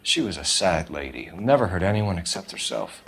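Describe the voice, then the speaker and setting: An actor playing a stern, relentless police detective in a dramatic 1940s crime game. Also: male voice, deep voice, lively intonation, brisk, creaky, a bit bright, husky, exaggerated voice. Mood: grim, accusing. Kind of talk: dramatic video game acting.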